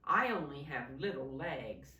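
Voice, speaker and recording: A gentle little tortoise from a picture book, acted out by a woman reading aloud. It speaks in friendly, kindly exclamations with an animated pitch, recorded in a roomy space slightly off the microphone. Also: unhurried, recorded from a distance, heavily acted. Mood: regretful.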